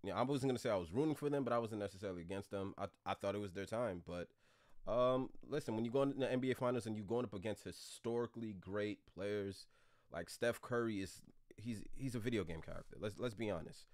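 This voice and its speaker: A young man hosting a casual reaction video from a room at home, talking in an animated, slangy way. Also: on the low side, fast talker. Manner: animated, slangy, laughs along. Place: home room with gaming chair.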